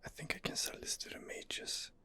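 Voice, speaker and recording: A man's voice: brisk, pushed and bright. A man murmuring hesitantly as he hosts an ASMR gaming role-play, thinking aloud close to the microphone. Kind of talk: whispered ASMR role-play gameplay narration.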